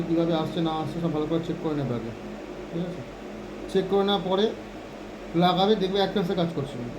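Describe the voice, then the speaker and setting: A man's voice: high-pitched, background noise. A man giving an informal hands-on phone repair tutorial, often checking in with short tag questions.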